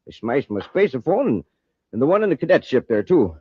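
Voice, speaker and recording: An actor playing a guileless, eager math whiz settler with a husky voice and earnest folksy manner in a 1950s radio drama. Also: male voice, medium pitch, big pitch swings, a little fast, no echo. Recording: dull old radio recording.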